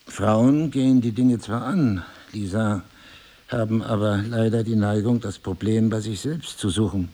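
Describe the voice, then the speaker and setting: An actor playing a remorseful middle-aged husband in an audio drama, speaking in a husky, gravelly, deliberate and intimate way. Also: male voice, on the low side, dark tone. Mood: lecturing. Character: remorseful, pleading husband, brooding and passionate.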